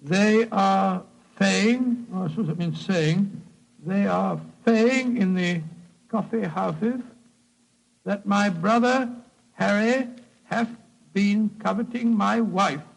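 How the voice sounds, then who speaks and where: An actor playing a fussy, blustery older British doctor and loyal sidekick in a 1940s style radio drama. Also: male voice, sits high, slow pace, vocal fry, full character voice, low-quality recording. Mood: intrigued, puzzled.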